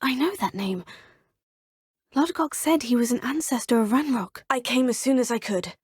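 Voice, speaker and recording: A woman's voice: on the high side, moderate pace, husky, dry recording. A well-spoken young British witch. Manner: polite, lightly wry. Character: young female wizarding student, curious and polite. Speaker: player character in a video game. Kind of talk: game voice clips. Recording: dry studio voice-over.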